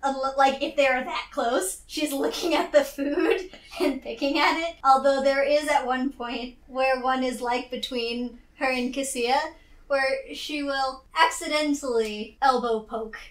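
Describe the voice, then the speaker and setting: A woman playing a tabletop game, chatting casually and halting to rephrase mid-thought. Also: high voice, fairly even intonation. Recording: roomy echo.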